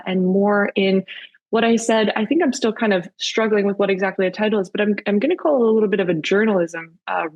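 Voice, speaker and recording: A woman in her thirties from Canada speaks over a video call with a voice that has a gravelly fry at a middling pitch. Her talk is earnest and enthusiastic, and it is full of fillers and restarts. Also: darkish tone. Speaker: webinar speaker and author. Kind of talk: webinar presentation.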